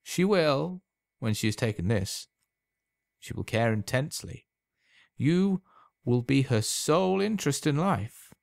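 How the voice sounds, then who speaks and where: A man voicing a sly, unhurried old potion seller. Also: mid-range voice, lively intonation, gravelly, a little mellow, no room sound, studio quality, pitched above the performer's own voice. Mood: persuasive. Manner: unhurried, insinuating, emphatic.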